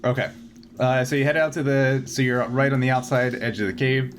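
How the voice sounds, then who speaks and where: A man's voice: medium pitch, fairly even intonation, slight background noise. Hesitant man running a game. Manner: hesitant, halting explanations. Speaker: game master. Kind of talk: running a tabletop sci-fi game, casual.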